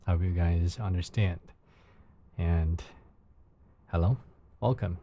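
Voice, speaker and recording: A man from China with a Chinese accent, a Mandarin speaker talking in English, chatting casually and haltingly with viewers on a live stream in a soft, soothing voice. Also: low-pitched, fairly even intonation, a little hiss or hum. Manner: unhurried, halting, with fillers and restarts.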